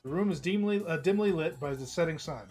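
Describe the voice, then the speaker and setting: A hoarse man streaming, reading a visual novel aloud in a casual, chatty way with small slips. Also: on the high side, quick pace, a little mellow, faint music behind. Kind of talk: narrating a visual novel live.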